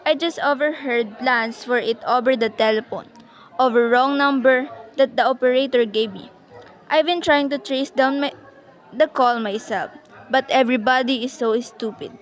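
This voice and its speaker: Woman acting anxious, high-pitched, imperious lady. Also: normal speed, dull audio, close to an everyday voice. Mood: agitated, pleading. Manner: insistent, fretful, indignant. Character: anxious, imperious, easily exasperated married lady on telephone. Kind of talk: amateur radio play acting. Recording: noisy amateur recording.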